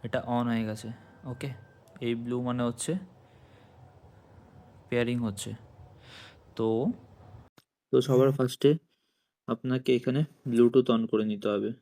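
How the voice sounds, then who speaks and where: A man's voice: mid-range voice, little pitch movement, a little slow, pauses often, warm tone. A man giving a casual hands-on tutorial, explaining step by step and speaking directly to viewers. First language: Bengali. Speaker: motovlogger and product reviewer.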